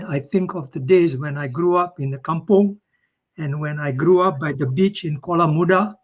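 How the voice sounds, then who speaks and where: An older man with a Malaysian accent giving an informal conference lecture as a professor, repeating phrases for emphasis in simple, direct words. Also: fairly high pitch. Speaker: professor, keynote speaker.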